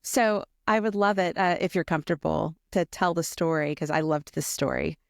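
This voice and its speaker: A woman's voice: fairly low pitch, moderate pace, vocal fry, dry recording, studio quality. A woman hosting a podcast in a casual conversational interview style. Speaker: podcast host.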